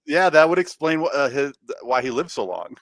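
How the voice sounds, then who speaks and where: A man's voice: on the high side, big pitch swings, a little fast, fairly bright tone, no echo. A man co-hosting a podcast, chatting eagerly with many stammered restarts. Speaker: podcast co-host. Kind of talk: casual chat.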